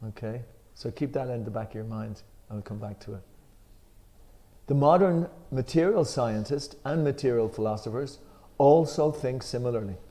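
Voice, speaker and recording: Mellow-voiced Irish man leading a study. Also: mid-range voice. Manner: emphatic when reading, then relaxed and unhurried with fillers. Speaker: scripture discussion leader. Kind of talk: reading a commentary aloud, then explaining it.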